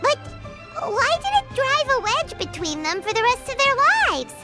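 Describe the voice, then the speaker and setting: A woman's voice: very high voice, sing-song, moderate pace, over music. A woman voicing a tiny, bubbly companion creature who asks lots of questions in game dialogue. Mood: curious. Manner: bubbly, inquisitive. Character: tiny chirpy female companion creature, curious.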